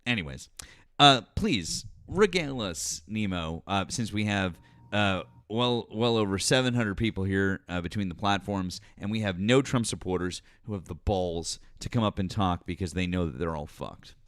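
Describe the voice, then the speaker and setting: A man's voice: low-pitched, lively intonation, moderate pace, fairly bright tone, no echo, studio quality. A man hosting a live call-in talk show, blunt and profane with callers. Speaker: show host.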